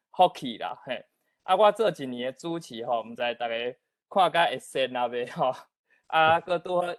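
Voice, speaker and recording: A man's voice: medium pitch, no echo. A man with a Taiwanese accent hosting an online meeting, giving courteous, informal opening remarks. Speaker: outgoing host of an online literature event.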